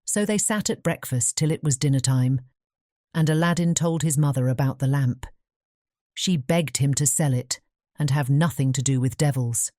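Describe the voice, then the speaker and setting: A woman narrating a bedtime fairy tale as a measured, careful scripted storybook reading. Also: a bass voice, warm tone, no echo, studio quality.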